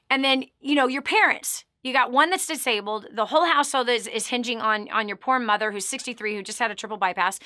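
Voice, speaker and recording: Woman host, high and bright, emphatic preachy coaching tone, dry close mic. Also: no echo. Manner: emphatic, preachy, swells louder on key words. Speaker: radio show host. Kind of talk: motivational coaching talk.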